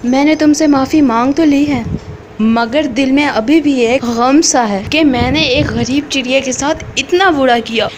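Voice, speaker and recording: A gruff, boastful male crow from a cartoon. He talks in a fairly high voice with a faint hiss in the background. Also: measured pace. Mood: remorseful. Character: hot-tempered male crow ice-cream seller, bullying then remorseful. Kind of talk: cartoon voice acting.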